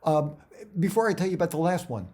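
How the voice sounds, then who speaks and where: A middle-aged man giving career advice in a casual, conversational way, halting and often repeating words as he talks directly to viewers. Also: fairly high pitch, expressive, mellow.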